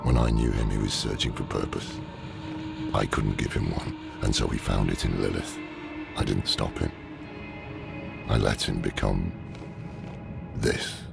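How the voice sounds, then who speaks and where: An actor playing a weary, grave scholar and mentor in a video game, with a gravelly, deliberate delivery over loud music. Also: male voice, fairly even intonation, full character voice. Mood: somber. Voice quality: very deep, gravelly. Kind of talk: video game cutscene acting.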